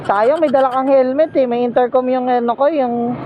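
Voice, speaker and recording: Thin phone-like sound, clear road noise, man, casual vlog chat, teasing, chatty motorcycle taxi rider. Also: very high-pitched, steady tone, moderate pace. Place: outdoors, riding a motorcycle in traffic. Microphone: helmet intercom headset. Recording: tinny intercom mic, loud road noise.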